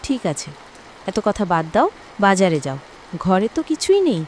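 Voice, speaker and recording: A woman voicing a sharp-tongued, nagging village wife in a cartoon. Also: medium pitch, very animated, moderate pace, a little hiss or hum, lightly acted. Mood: scolding. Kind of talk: animated cartoon dialogue.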